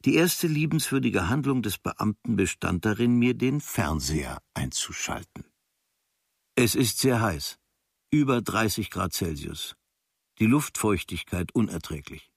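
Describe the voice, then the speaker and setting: An actor reading as an observant writer recounting travels from a diary, in clipped, dryly observant phrases with a husky voice. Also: male voice, on the low side, very animated, measured pace, dry recording, studio quality, full character voice.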